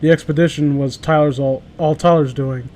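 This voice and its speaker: A man's voice: on the high side, fairly even intonation, fairly quick, darkish tone, slight background noise. A man chatting casually among friends and reading stories aloud, stumbling over words as he reads. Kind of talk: casual group banter and amateur story reading.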